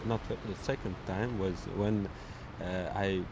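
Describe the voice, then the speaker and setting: A man being interviewed outdoors, speaking haltingly with many fillers as he searches for words. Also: fairly low pitch, steady tone. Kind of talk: casual street interview. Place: outdoors on a city street. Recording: outdoor street noise with traffic.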